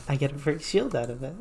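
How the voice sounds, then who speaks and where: A soft-spoken, giggly woman doing an unhurried ASMR game playthrough and reading aloud. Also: very deep voice, a little hiss or hum. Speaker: streamer playing a game.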